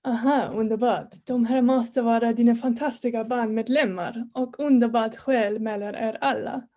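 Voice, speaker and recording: A woman's voice: fairly high pitch, steady tone, normal speed, mellow, lightly acted. A woman in an informal table read playing a huge, jovial, muscular male metal star who loves telling stories. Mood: hearty.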